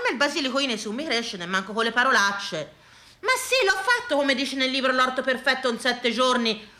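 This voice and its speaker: High, animated Tuscan woman, theatrical storyteller. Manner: animated, exclamatory, conversational, repeats herself. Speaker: stage actress and storyteller. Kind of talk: theatrical comic monologue in colloquial speech. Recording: roomy, echoing live sound.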